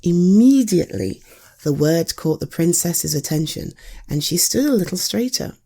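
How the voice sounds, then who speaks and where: A woman with an English accent tells an improvised ASMR bedtime story. Her voice is lowish and mellow with some crackle in it. She sounds playful and a little hesitant, as if talking to someone beside her. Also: expressive, normal speed, vocal fry. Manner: playful, hesitant, chatting to an unheard listener.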